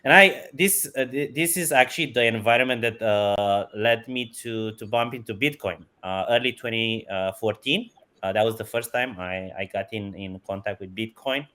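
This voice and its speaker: A man's voice: mid-range voice, normal speed, sharp tone. A man, enthusiastically answering interview questions and chuckling often. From Romania. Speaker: guest, company CEO.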